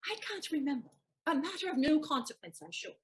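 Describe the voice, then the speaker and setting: A flippant young lady's maid played by a woman talks in a very high voice at a quick clip. The style is theatrical, from an audio play. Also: expressive.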